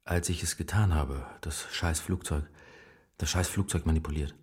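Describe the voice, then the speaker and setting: A man's voice: extremely low pitch, steady tone, moderate pace, a little mellow, husky, heavily acted. An actor playing a cold, ruthless henchman with a guilty secret in a radio drama, speaking in terse fragments. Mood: grim, terse.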